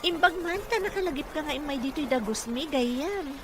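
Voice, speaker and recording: A woman's voice: sits high, animated, normal speed, over music. A woman with a Filipino accent playing a dutiful, caring caregiver in an Ilocano radio drama. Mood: friendly. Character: dutiful, caring female caregiver and working mother. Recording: noisy ambient background.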